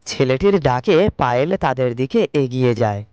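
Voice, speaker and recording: A man's voice: medium pitch, expressive, a little fast, darkish tone, clear, resonant tone, no room sound, lo-fi sound. A man narrating a scripted cartoon moral story as its storyteller. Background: faint music.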